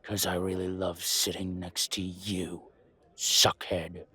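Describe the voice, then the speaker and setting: A man voicing a gruff, weary werewolf who speaks in terse, surly, halting phrases. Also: low-pitched, flat delivery, bright, forceful tone, exaggerated voice. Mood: surly contempt.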